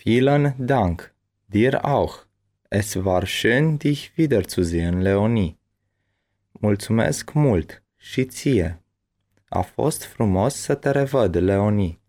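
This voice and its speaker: A man reading his part of a bilingual language-lesson dialogue with careful, deliberate enunciation. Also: fairly low pitch, expressive, darkish tone.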